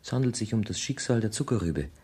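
An actor playing a playful, teasing gentleman in a theatrical 1970s German radio drama. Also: fairly low pitch, animated, fast, husky. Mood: flustered, polite. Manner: theatrical, bantering. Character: playful, teasing gentleman friend of the family, flustered suitor.

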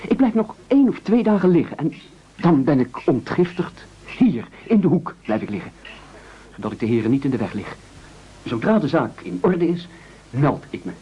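A man's voice: a little high, very animated, normal speed, dark tone. An actor in a radio play playing a frightened, evasive castaway who stammers, fusses and ingratiates himself as he pleads. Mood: nervous bargaining. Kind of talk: absurdist radio play acting. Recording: muffled old radio play recording.

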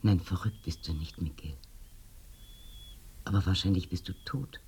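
An actress playing an eerie village widow in a radio drama, confiding and animated, with a dark husky voice that sinks to murmurs. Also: female voice, a bass voice, moderate pace, slight background noise. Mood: tender, grave. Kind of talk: binaural radio drama acting.

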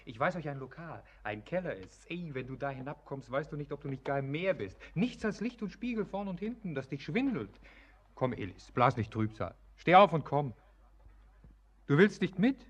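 An actor playing a brash, taunting, impatient sailor in a radio drama, with a hearty, mocking manner and husky voice. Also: male voice, a little high, big pitch swings, moderate pace. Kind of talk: verse radio drama acting. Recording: dull, muffled radio drama recording.

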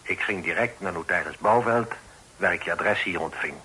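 Casting: shrewd, protective father, middle-aged. Bright, forceful, rough-edged voice, formal measured delivery, old radio play. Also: deep voice, full character voice, poor audio. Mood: measured, confiding. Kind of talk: period radio drama acting.

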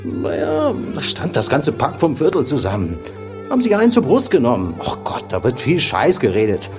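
Jumpy street informant with swooping pitch. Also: male voice, wildly swooping pitch, moderate pace, over music. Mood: gossiping, dismissive. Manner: evasive, colloquial, jumpy. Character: wary, street-wise neighbourhood police informant. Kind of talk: German radio crime drama.

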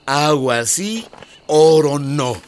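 A man's voice: a little high, expressive, very slow, background noise. A man acting in a radio drama as an encouraging male schoolteacher who leads a community struggle, reassuring and rallying.

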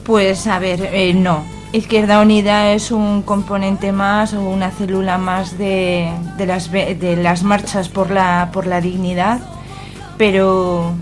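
An activist woman with a Spanish accent from Spain and a medium-pitched voice. She answers interview questions earnestly and conversationally, in measured phrases, with music faintly behind her. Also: level tone.

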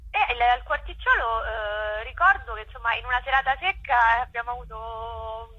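A woman in a casual phone interview, talking haltingly and often rephrasing herself. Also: fairly high pitch, husky. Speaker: actress and playwright, interview guest. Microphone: telephone. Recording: dull, band-limited phone line.

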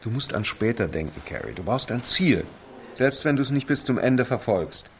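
A man's voice: medium pitch, big pitch swings, normal speed, a little hiss or hum. A man playing a patient, encouraging middle-aged father with an earnest, coaxing manner in a radio drama. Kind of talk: naturalistic radio drama dialogue.

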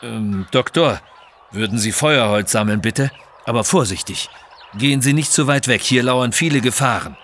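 A man's voice: medium pitch, normal speed, a bit bright, a little hiss or hum, lightly acted. A man voicing a decisive, protective adventurer hero in a children's audio story. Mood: concerned.

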